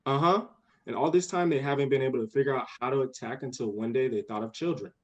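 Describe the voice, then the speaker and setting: A man's voice: medium pitch, fast, lightly acted. A young man playing an eager, bossy, imaginative little girl in a radio play, with earnest impatience. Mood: earnest, excited. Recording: dull lo-fi audio.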